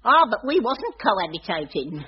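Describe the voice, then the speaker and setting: A woman's voice: medium pitch, big pitch swings, normal speed, natural voice. An actress playing an amorous, hot-tempered cleaning woman in a radio sitcom, coy and gushing. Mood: smug. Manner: coy and gushing, then scolding. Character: amorous middle-aged charwoman, fiery temper. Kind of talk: 1980s radio sitcom acting. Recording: muffled noisy old radio recording.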